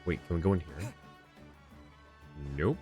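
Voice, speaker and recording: A man's voice: deep voice, expressive, measured pace, warm tone, soft background music. A man giving casual live commentary as he streams a game, often muttering to himself.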